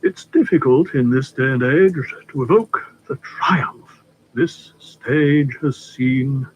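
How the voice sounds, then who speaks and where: A man voicing a cultured, persuasive older theatre trustee who speaks slowly and theatrically, with a funereal edge. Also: fairly high pitch, very animated, a little hiss or hum, poor audio. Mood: wistful. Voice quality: smooth, cultured, unhurried. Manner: measured and theatrical, with drawn-out words.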